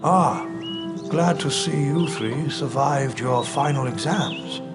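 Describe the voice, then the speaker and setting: An actor voicing a gentle, wise old wizard headmaster in a video game, measured, kindly and grave. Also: male voice, medium pitch, natural voice. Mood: warm, pleased. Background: loud music.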